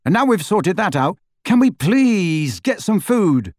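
A man's voice: extremely high pitch, wildly swooping pitch, a little slow, dry recording, clean studio sound, pitched above the performer's own voice, full character voice. A man voicing an irritable, hungry teenage boy wizard who grumbles and snaps sarcastically. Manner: grumbling, snappish.

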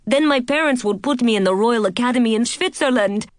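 A woman's voice: a little high, fairly quick, dry recording, studio quality, pitched above the performer's own voice, natural voice. A woman voicing a desperate, tearful schoolboy prince who pleads in stiff, foreign phrasing.

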